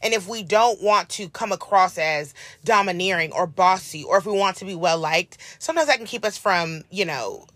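Hoarse woman podcaster, bright dry sound. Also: lowish voice, dry recording. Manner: earnest, encouraging, drawn-out emphasis. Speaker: podcast co-host. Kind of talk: casual podcast chat.

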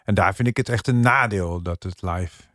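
A man's voice: sits low, moderate pace, a bit bright, dry recording, studio quality. A middle-aged or older man talking earnestly and explaining things in a casual podcast review.

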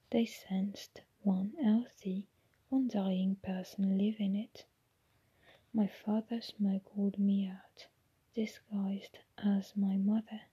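Flat, drugged young man, as a woman reader puts him on. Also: medium pitch, breathy edge, lo-fi sound. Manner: flat, expressionless whisper. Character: young male prisoner, drugged, flat and expressionless.

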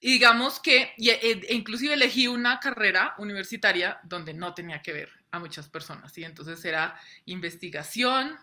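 A woman guest on a podcast, speaking by video call, earnest and often checking in with a quick question. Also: mid-range voice, pushed and bright. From Colombia. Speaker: guest, personal branding coach. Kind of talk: interview storytelling. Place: home in Colombia.

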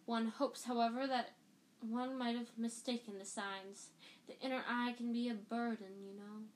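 Dreamy old-school seer lady, breathy and mystical, woman doing the voice, kinda high. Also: steady tone, normal speed, natural voice. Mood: serene. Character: dreamy eccentric female divination teacher, murmuring.

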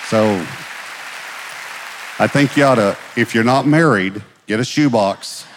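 A man's voice: lowish voice, a little slow, a faint crowd. A man who is a pastor, hosting a conversational interview on stage and often repeating words as he goes. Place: large church auditorium, on stage. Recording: hall reverb, audience noise.

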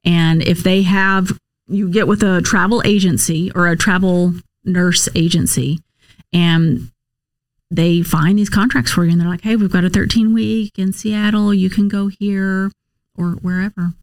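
A woman's voice: on the low side, steady tone, measured pace, dry recording, poor audio. An American woman answering interview questions conversationally, in a candid, explanatory way with emphatic repetition. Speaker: interview guest, nurse case manager and former Marine officer.

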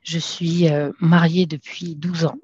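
A woman's voice: deep voice, level tone, slow, a little mellow, husky, no room sound. A woman in her thirties from France, a podcast guest talking casually and hesitantly with many fillers and restarts. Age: 30s. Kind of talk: casual conversational interview. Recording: dull, dry lo-fi sound.

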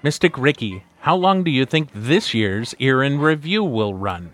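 A man's voice: medium pitch, very animated, measured pace, fairly bright tone, soft background music. A man playing an eager, put-upon podcast host in an amateur audio drama. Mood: curious.

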